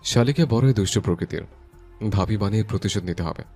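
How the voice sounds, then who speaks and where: A man playing a brooding, gruffly tender young newlywed hero in an audio love story. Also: fairly low pitch, fairly quick, a little mellow, soft background music. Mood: teasing, mock stern. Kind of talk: Bengali audio story acting.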